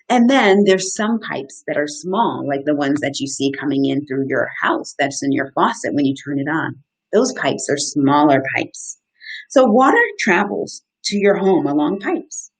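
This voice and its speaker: Woman, lowish pitch, husky voice, children's read-aloud lesson, simple explanations, interactive questions, encouraging teacher delivery. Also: poor audio. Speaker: outdoor educator hosting a children's story time. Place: small indoor room. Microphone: webcam at desk distance, live video stream.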